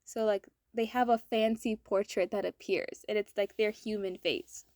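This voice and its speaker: A woman art vlogger with a slightly raspy, hoarse voice, chatting casually in a voice-over and rambling with lots of "like" fillers. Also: medium pitch, steady tone, moderate pace, a little mellow, no room sound, clean studio sound. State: throat hoarse from cold air.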